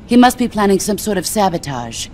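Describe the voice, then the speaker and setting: An actress playing a stern, composed veteran soldier in a video game, speaking with calm authority. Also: female voice, mid-range voice, fairly quick, slight background noise, lightly acted. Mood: calm, resolute. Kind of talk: dramatic video game acting.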